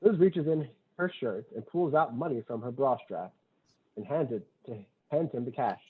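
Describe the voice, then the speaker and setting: A man's voice: mid-range voice, lively intonation, moderate pace, husky. A man reading screenplay stage directions aloud at a table read, matter-of-fact, in short clipped sentences. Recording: thin, phone-like online call audio.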